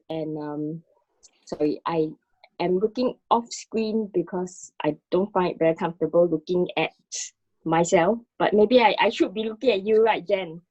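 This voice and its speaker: A woman talks reflectively and casually with a fellow artist, feeling her way through her sentences. Her voice is lowish and soft-edged in tone, picked up dry with a slightly dull sound. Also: dry recording. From Singapore. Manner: hesitant, self-conscious, searching for words.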